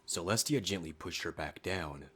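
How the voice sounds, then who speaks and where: A man's voice: low-pitched, animated, normal speed, bright, forceful tone, husky. A man reading a dramatic scripted story as a fan fiction narrator. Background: faint music.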